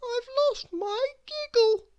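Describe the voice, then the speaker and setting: Super high squeaky little storybook girl, whiny and weepy, totally miserable. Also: takes it slowly, darkish tone, muffled recording. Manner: whiny, sighing, sobbing delivery.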